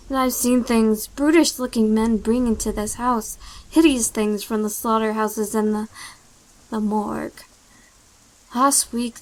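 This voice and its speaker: Woman as a high-voiced fussy housekeeper. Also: close to an everyday voice, rough recording. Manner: fussy, dramatic. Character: scolding, worried housekeeper, fearful and superstitious. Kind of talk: old-time radio drama acting.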